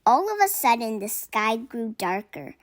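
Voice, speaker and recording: A woman reads a children's picture book aloud in a fairly high voice. Her pitch moves a great deal, and she takes the story slowly, acting out the characters' lines expressively. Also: sing-song, a little hiss or hum.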